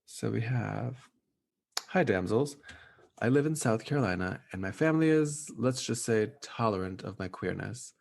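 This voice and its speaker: A man co-hosting a casual podcast, with a lowish voice and a lot of gravelly fry in it. He speaks in an easygoing, measured way. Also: animated. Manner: easygoing, measured, reads listener letter. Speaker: podcast co-host.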